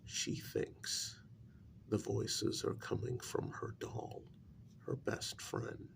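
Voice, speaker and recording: A man in a soft-spoken ASMR role-play as a calm, grave psychologist, talking in a measured, halting, breathy half-whisper with gravel in it. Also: on the low side, noisy background. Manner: deliberate, measured, halting phrases. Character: calm, grave male psychologist and spiritualist counselling a parent.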